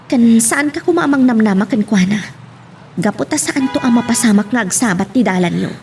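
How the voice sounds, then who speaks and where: A woman's voice: on the high side, expressive, brisk, vocal fry, darkish tone, distant mic, faint music behind. A woman playing a hurt, protective single mother in an emotional Ilocano drama, with an Ilocano accent. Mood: upset, reproachful. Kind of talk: Ilocano radio-style love drama acting.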